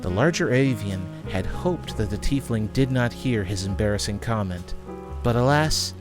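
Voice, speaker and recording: A man's voice: fairly low pitch, expressive, normal speed, music in the background. A man reading scripted fantasy story narration as the narrator of an audio drama.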